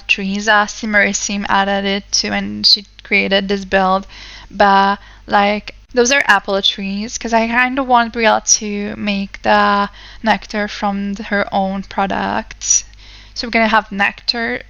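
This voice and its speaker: Bright, chatty young woman gamer. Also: mid-range voice, vocal fry, smooth, no room sound, muffled recording. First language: not English. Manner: chatty, rambling, many fillers. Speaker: let's play host. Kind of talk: casual gameplay commentary.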